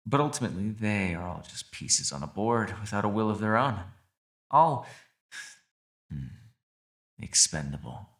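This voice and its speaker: A man's voice: fairly low pitch, very animated, a little slow, long pauses, fairly bright tone, heavily acted. A man playing a captive kitsune, a sly and menacing fox spirit, with silky, taunting, mock-polite delivery in an ASMR roleplay. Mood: sly, probing.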